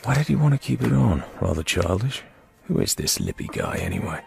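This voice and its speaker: An actor playing a wry British secret agent in a radio drama, with dry, understated delivery. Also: male voice, deep voice, huge pitch swings, brisk, vocal fry, darkish tone, faint music behind, full character voice.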